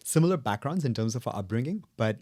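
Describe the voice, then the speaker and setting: A man's voice: mid-range voice, animated, fast, darkish tone, dry recording, studio quality. A young man from South India, a native Telugu speaker, telling his story earnestly and reflectively as a guest in a podcast interview. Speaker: guest, fragrance brand co-founder.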